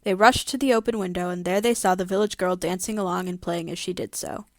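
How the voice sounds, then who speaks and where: A woman's voice: on the low side, steady tone, fast, no room sound, studio quality. A woman co-hosting a podcast, casually discussing a story and reading passages of it aloud. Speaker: podcast co-host.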